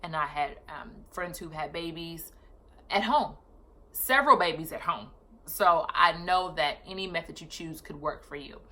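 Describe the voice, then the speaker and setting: A woman's voice: deep voice, moderate pace, pushed and bright. A woman in her thirties telling a personal story on her vlog, chatty and casual, often correcting herself mid-sentence. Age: 30s. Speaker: vlogger.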